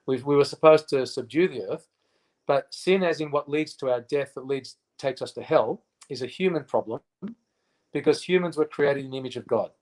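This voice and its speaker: A man in his fifties talks in a relaxed interview. He keeps stopping and restarting, with plenty of fillers, and stresses his points hard. His voice sits at a middle pitch. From Australia. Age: 50s. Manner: halting, many fillers and restarts, emphatic. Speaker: interview guest. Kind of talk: casual interview chat.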